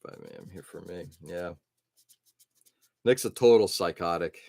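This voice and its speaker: A man's voice: low voice, unhurried, creaky, a little mellow, dry recording, clean studio sound. A middle-aged man hosting an early-morning live stream, rambling casually and answering viewers' chat comments.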